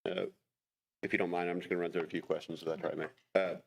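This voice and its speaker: A man with a very low voice full of gravel asks questions as a council member. He is polite and slightly hesitant. The meeting recording has clear background noise. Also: little pitch movement, fast talker. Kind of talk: informal questioning in a formal meeting. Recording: noisy public meeting recording with clicks.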